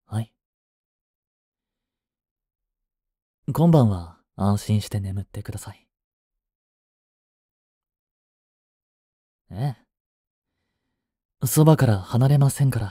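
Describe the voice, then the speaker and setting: Casting: male actor as a cool young butler, formal, devoted and jealous, dark mellow tone, close dry sound, romantic audio drama. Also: on the low side, lively intonation, normal speed, breathy edge, no echo. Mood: gentle, attentive. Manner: formal, polite to his mistress, curt to rivals.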